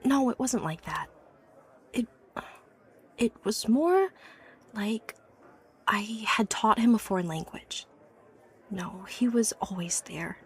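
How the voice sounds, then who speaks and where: A woman's voice: mid-range voice, natural voice. A woman playing an obsessive, haunted young inventor from a wealthy family in a horror audio drama, confiding hesitantly with phrases that drop to a whisper. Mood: defensive, yearning. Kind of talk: horror audio drama acting, confessional monologue. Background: background music.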